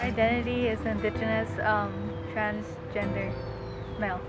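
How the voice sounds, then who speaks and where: An eighteen-year-old with a woman's voice, answering hesitantly with many pauses and ums. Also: medium pitch, little pitch movement. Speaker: interviewee. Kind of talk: casual interview answers. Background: background music.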